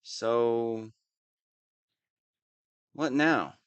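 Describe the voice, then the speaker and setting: A man's voice: medium pitch, extremely slow, smooth, dry recording, highs cut off. A man playing a whiny, lovestruck young wannabe villain in an audio roleplay, petulant and defensive. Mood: sheepish, hesitant. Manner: petulant, defensive, swinging between sheepish mumbling and indignant outbursts. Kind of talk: scripted audio roleplay monologue.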